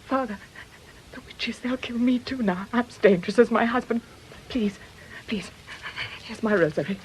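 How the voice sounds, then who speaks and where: An actress playing a terrified, desperate married woman in a radio drama, agitated and halting, with urgent, breathy delivery. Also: fairly high pitch, slight background noise, natural voice. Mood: frantic, pleading. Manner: agitated, halting, urgent, slipping into hushed tones.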